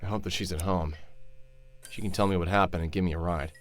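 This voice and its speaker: A man voicing a gruff, hard-drinking cyborg in a video game, with a fairly low voice. He is blunt and sarcastic with others and mutters his thoughts aloud when alone. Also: fairly even intonation, quick pace, natural voice. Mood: brooding.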